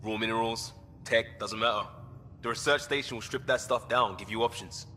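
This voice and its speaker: A man's voice: fairly even intonation, fairly quick, bright, forceful tone. An actor playing an upbeat, easygoing, tech-loving crewman in a video game, with a casual, breezy delivery. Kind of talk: video game cutscene acting. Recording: long reverb, faint rumbling noise.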